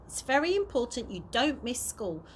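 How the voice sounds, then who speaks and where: A woman voicing a kindly, courteous old male tramp in a gentle, measured, earnest way. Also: on the high side, sing-song. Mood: gently refusing. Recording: noisy amateur home recording.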